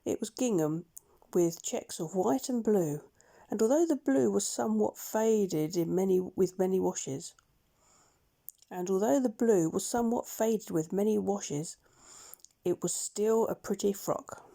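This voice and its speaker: A woman reading a children's story aloud in a measured, expressive storytelling manner. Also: medium pitch, creaky. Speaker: storybook reader. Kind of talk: scripted children's story narration.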